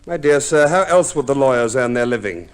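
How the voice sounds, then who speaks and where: Actor as pompous lawyer, swooping intonation. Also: male voice, mid-range voice, expressive, brisk, crowd noise, close to an everyday voice. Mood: expansive, reasoning. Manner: formal, precise, unctuous, hesitant hums. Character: fussy, pompous English divorce lawyer, scrupulously proper. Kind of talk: 1950s radio play acting.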